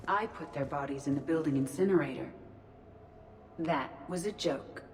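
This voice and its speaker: Woman playing a low, deadpan officer. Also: moderate pace, a little hiss or hum, lightly acted. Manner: deadpan, formal report-like delivery. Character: stiff, deadpan female officer with dark humor. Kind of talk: video game voice acting.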